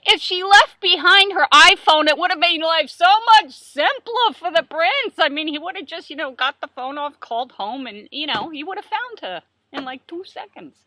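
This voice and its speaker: Bright high-voiced lady, super animated, jokey and self-mocking, chatting writing tips. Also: moderate pace, hardly any pauses, no room sound. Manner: playful, self-deprecating, animated. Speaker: children's book author. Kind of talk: casual tips video.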